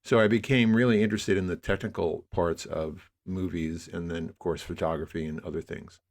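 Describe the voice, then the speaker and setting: A man's voice: low voice, fairly even intonation, a little fast, darkish tone. An American man in his sixties, speaking reflectively and discursively as a guest in a conversational interview. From New York area, United States. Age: 60s. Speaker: interview guest, media producer.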